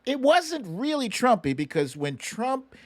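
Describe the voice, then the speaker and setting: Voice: medium pitch, big pitch swings, unhurried. A show host giving casual, conversational political commentary, swearing freely and acting out what others said. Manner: acts out quotes animatedly.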